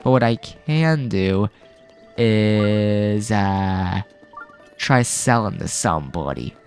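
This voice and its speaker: A man's voice: lowish voice, lively intonation, slow pace, darkish tone, soft background music. A man hosting a let's-play, getting over a cold with a lingering cough, rambling casually with lots of fillers. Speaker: gaming video host.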